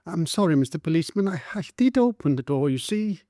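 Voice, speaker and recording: A frightened young servant girl with a shrill voice, stammering as she pleads in a storybook reading, put on by a male narrator. The voice sits high, with a mellow tone. The recording is close and dry. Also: expressive, quick pace, no room sound, close to an everyday voice. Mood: frightened pleading.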